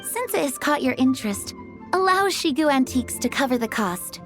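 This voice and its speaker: A woman's voice: sits high, very animated, moderate pace, over music. A woman voicing a refined, gracious antiques proprietor in a video game, speaking politely and formally. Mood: grateful, gracious. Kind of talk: dramatic game character dialogue.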